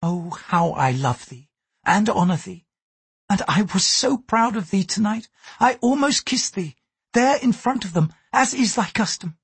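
A man's voice: high-pitched, animated, a little slow, breathy edge, dry recording, pitched above the performer's own voice, exaggerated voice. A man reading aloud, voicing a poised young Japanese noblewoman with a formal, archaic way of speaking. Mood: tender. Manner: formal, archaic thee-and-thou speech, apologetic "so sorry".

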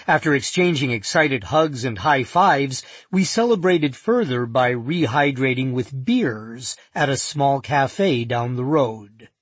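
A man narrating a first-person nonfiction audiobook in a measured, vivid storytelling manner. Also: on the high side, breathy edge, no room sound.

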